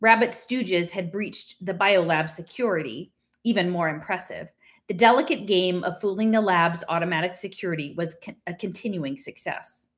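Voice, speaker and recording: A woman's voice: lowish voice, moderate pace. A middle-aged woman with an American accent, talking casually and reading aloud as host of a home stream, in an emphatic, conversational way. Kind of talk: casual talk and unrehearsed reading aloud from a book. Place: small living room at home, indoors.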